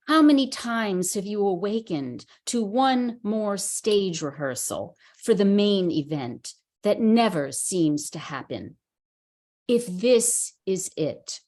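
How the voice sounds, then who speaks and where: Slow, measured woman reading poetry. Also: lowish voice, a little mellow. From United States. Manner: measured, unhurried recitation. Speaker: poet. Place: reading at a writers center.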